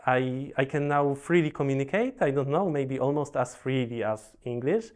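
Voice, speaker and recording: A young man from Poland with a Polish accent, a professional Go player, telling his story in a relaxed, halting way with many restarts and fillers. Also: mid-range voice. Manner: halting, repeats words, frequent fillers.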